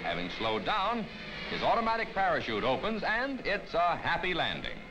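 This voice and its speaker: High-pitched, dramatic American newsreel narrator, man. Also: wildly swooping pitch, moderate pace, poor audio. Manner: dramatic, declamatory. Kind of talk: 1950s newsreel narration, scripted. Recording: vintage 1950s film soundtrack. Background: loud orchestral newsreel music.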